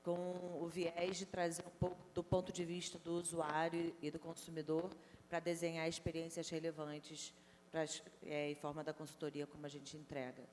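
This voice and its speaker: Woman director, very low monotone voice, hall reverb, faint hum. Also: normal speed. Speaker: agency director, panelist. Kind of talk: panel self-introduction. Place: conference stage in a large hall.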